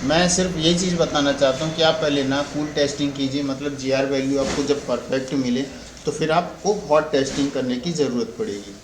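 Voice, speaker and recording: An Indian man with a mid-pitched voice walks through a repair in an informal way. He explains as a technician would, rephrasing and repeating points as he goes. The recording is roomy and echoey, with faint noise behind him. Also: fairly even intonation, brisk. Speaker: phone repair technician giving a tutorial. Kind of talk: informal spoken repair walkthrough.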